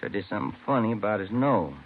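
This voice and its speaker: A man's voice: lowish voice, fast talker, close to an everyday voice. An actor playing a plain-spoken, folksy police detective reporting to his boss in a 1950s radio drama. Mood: earnest. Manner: folksy, easygoing, colloquial. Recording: tinny, noisy old radio broadcast.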